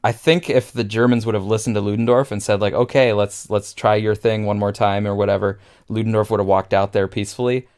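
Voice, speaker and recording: A man's voice: lowish voice, level tone, fairly quick, few pauses, a little mellow, clean tone. A man co-hosting a podcast, analysing film in a casual conversational way. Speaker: podcast co-host.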